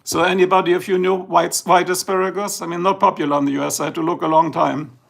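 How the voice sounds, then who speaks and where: German-accented male streamer, gravelly and a touch high, rambling and sleepy. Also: level tone, fairly bright tone. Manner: rambling, chatty, slow and drowsy at times. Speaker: livestream host. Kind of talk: casual live-stream chat. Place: indoors, filming a tablet slideshow.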